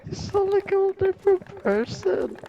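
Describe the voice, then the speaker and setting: A woman's voice: very high-pitched, lively intonation, normal speed, mellow, lo-fi sound, a little hiss or hum. A woman casually reacting to a friend, giggly and breaking up as she talks. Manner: giggly, breaks into laughter while talking.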